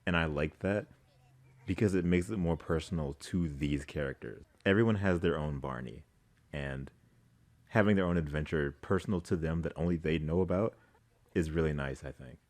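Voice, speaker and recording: A man's voice: extremely low pitch, moderate pace, darkish tone. A man giving casual, wry commentary as the host of a movie reaction video.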